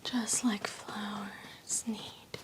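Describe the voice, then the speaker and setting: Slow whispering woman, soft ASMR. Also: medium pitch, flat delivery. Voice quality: breathy, mostly whispered. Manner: slow, gentle, soothing affirming delivery. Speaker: ASMR host. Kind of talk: soft-spoken ASMR relaxation roleplay.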